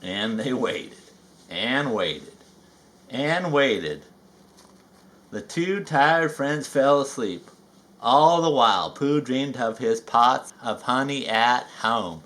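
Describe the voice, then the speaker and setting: A man reading a children's story aloud with folksy, chatty asides and drawn-out emphasis. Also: medium pitch, animated, a bit bright. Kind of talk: animated read-aloud narration with chatty asides.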